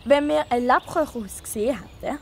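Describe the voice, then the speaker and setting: A woman playing a naive, scared lost child in a children's fairy-tale audio drama, with a childlike, excitable manner, in noisy forest ambience. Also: sits high, brisk. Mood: wary.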